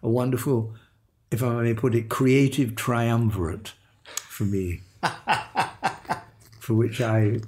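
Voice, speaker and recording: An older man, a veteran voice actor, reminiscing in an interview with a halting, repetitive way of talking and soft chuckles. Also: medium pitch, slight background noise. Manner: halting, repeats words, chuckles softly.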